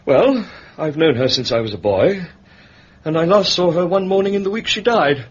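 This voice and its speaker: Fussy English bank manager, muffled recording. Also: male voice, a little high, animated, normal speed. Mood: flustered, guarded. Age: middle-aged. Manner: hesitant, formal, fussy phrasing. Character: fussy, discreet male bank manager, middle-aged, awkwardly proper. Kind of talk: British period detective radio drama acting. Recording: muffled radio recording, clear background noise.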